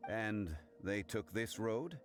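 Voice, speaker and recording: A man playing a composed, learned gentleman in a video game, speaking in a formal, measured, grave manner. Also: on the low side, animated, faint music behind. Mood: calm, pensive.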